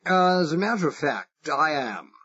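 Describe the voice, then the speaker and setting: A man's voice: fairly high pitch, big pitch swings, unhurried, fairly bright tone, slightly rough, voice pushed up, heavily acted. Man reading: dithering posh English secretary, exaggerated upper-class accent, dull lo-fi sound. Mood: flustered posh. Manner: flustered, dithering, pompous. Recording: dull lo-fi recording.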